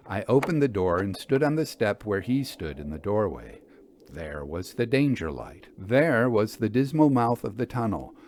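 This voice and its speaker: A man narrating a classic literary ghost story in an expressive, measured, theatrical manner. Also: fairly low pitch, slight background noise.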